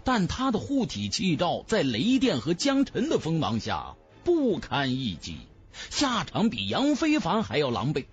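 A male audiobook narrator tells a fantasy tale with theatrical emphasis. His pitch sits high and swings widely, and faint music plays underneath. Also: huge pitch swings, a little slow, husky, lo-fi sound. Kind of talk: dramatic scripted narration of a fantasy novel.

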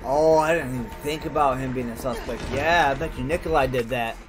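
A man's voice: mid-range voice, brisk, over music. A man giving casual, informal gameplay commentary as a let's play host, thinking aloud and reacting as he goes.

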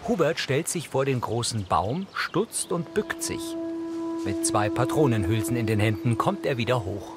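Casting: male audio description narrator, mid-pitched, plain scripted delivery, clear music behind. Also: animated, normal speed. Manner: neutral, factual. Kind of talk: scripted narration, present-tense scene description.

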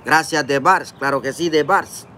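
A man's voice: on the high side, a little slow, sharp tone, slight background noise. A man in his forties with a Honduran Spanish accent, casually greeting viewers by name on a phone livestream while eating outdoors. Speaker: livestream vlogger reading chat. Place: outdoors in a park, seated at a table. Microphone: phone camera close up.

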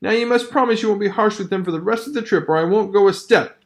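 A fussy, dainty young woman, put on by a male narrator pleads in a high, fluttery voice. She sounds tearful and imploring. It is a storybook reading. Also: fast. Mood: pleading. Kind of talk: audiobook character voice.

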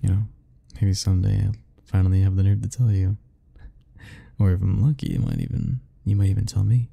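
A young man softly speaking a tender, hesitant ASMR roleplay, musing aloud. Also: low-pitched, big pitch swings, fairly quick, gravelly. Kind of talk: soft-spoken ASMR roleplay.

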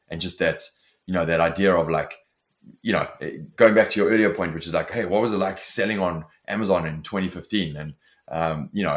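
A man in his forties with a South African accent, a company founder talking casually as an interview guest over a video call, with many restarts and fillers. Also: low-pitched. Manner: many restarts and fillers, fluent and forward-driving. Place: home office with shelves.

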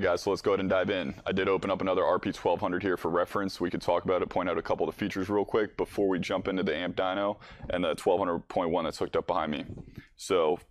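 A man's voice: low voice, no echo. An American man in his thirties giving a casual product demonstration as a company boss. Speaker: company CEO presenting a product. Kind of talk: casual product demo presentation. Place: indoor workshop, in front of a workbench.

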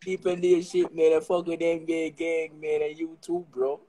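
Loud young man from Jamaica, shouting out friends. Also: on the high side, little pitch movement, normal speed, poor audio. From West Kingston, Jamaica. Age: young adult. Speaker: livestream guest. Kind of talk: casual livestream chat. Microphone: phone held close on a video call.

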